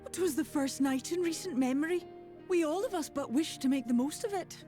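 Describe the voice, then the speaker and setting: High, lilting fairy woman played by an actress. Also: fairly quick, mellow, faint music behind, close to an everyday voice. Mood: aloof, airy. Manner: lilting, formal archaic phrasing. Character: capricious ageless female fairy, playful and aloof. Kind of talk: scripted fantasy game acting.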